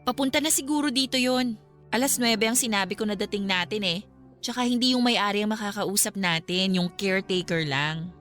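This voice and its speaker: A woman plays a young female ghost-hunting vlogger in a radio drama. She is courteous and businesslike, explaining things politely in a mid-pitched voice over faint music. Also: brisk, fairly bright tone, close to an everyday voice. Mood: matter-of-fact. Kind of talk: Filipino radio drama acting.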